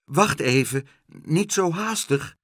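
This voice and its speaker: A man's voice: medium pitch, sing-song, a little slow, husky, no room sound, studio quality, voice pushed up. Man acting Meneer Pen, a fretful shopkeeper. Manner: fretful, questioning, hesitant. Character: Meneer Pen, anxious gentle male shopkeeper.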